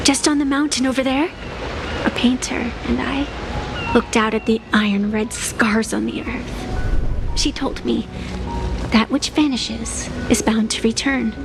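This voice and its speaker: A woman's voice: on the high side, gravelly. An actress voicing a playful, philosophical ageless woman in a video game, with a lilting, teasing, theatrical manner. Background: background music.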